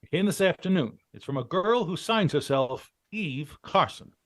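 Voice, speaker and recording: A man's voice: fairly high pitch, animated, normal speed, close to an everyday voice. A man acting the curt, dry, commanding leader of a trio of adventurers in an old-time radio drama. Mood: businesslike.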